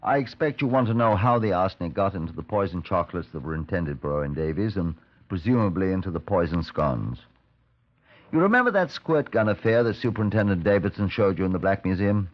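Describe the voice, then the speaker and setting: Casting: senior detective recounting cases, very low pitch, measured and stern, muffled vintage broadcast. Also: male voice, animated. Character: stern, measured police superintendent telling his case. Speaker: actor playing the narrating superintendent. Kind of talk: 1950s radio drama narration. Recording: dull vintage 1950s radio recording.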